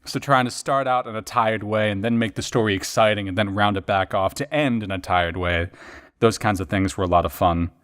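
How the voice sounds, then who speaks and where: A man's voice: on the low side, fairly quick, fairly bright tone, clear, resonant tone. A man who works as a voice actor and editor on a podcast, chatting casually and reflectively in a rambling storyteller's way. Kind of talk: casual reflective chat about acting work.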